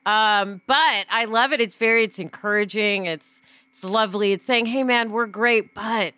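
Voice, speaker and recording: A woman's voice: medium pitch, normal speed, clean tone, dry recording. A middle-aged woman co-hosting a podcast, chatting casually and expressively. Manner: chatty, expressive, says "man" often. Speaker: podcast co-host. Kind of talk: casual chat.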